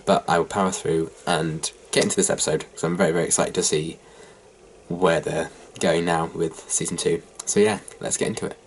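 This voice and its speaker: This is a young English man with a blocked, nasal voice and a scratchy throat, speaking in a low register. He chats casually and a little flatly, trailing into ums. A faint hiss sits under the recording. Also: fairly quick. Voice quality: hoarse from a sore throat. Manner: rambling, lots of ums, mildly flat delivery. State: nasal, blocked-up head cold. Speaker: reaction video host. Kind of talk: casual chat to camera.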